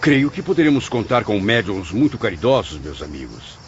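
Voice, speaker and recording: A man's voice: fairly low pitch, very animated, moderate pace. An actor playing an indignant, earnest male writer's spirit, with theatrical, formal literary diction in an audiobook drama. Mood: grateful, hopeful. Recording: dull lo-fi audio, faint music.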